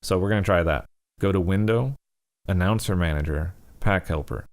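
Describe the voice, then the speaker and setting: A man presenting a step-by-step software tutorial in an informal, instructional way. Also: low-pitched, normal speed.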